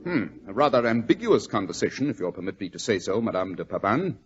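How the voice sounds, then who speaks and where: Sardonic British sleuth, self-assured, animated intonation with a husky edge, old radio drama. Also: male voice, fairly low pitch, fast. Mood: wry, pondering. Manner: crisply ironic, pondering, self-assured. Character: brilliant, dry, sardonic gentleman detective. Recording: dull lo-fi old radio recording.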